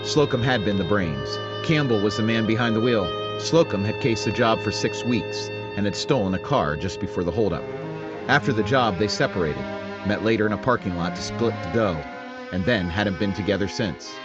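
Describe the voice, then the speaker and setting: An actor playing a calm police detective who narrates his case in a terse, matter-of-fact radio drama style. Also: lowish voice, normal speed, highs cut off, over loud music, natural voice.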